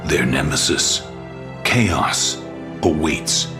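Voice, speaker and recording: A solemn male storyteller for a dark fantasy game. His voice sits very deep and moves slowly and gravely, with music underneath. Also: expressive, full character voice. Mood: grave, ominous. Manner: grave, measured. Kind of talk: scripted dramatic game narration.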